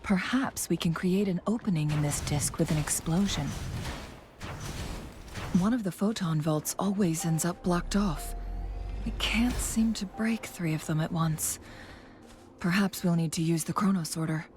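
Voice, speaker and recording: Actress as a serious, thoughtful young female game companion, lower-pitched, measured and earnest, with prominent music underneath. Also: darkish tone, natural voice. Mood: focused, matter-of-fact. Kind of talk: scripted video game character dialogue.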